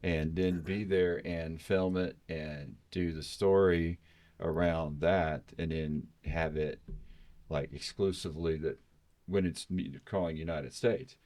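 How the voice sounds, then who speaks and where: A man's voice: deep voice, steady tone, takes it slowly, a little mellow. A man hosting a podcast, chatting casually and enthusiastically with rambling recommendations. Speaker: podcast host.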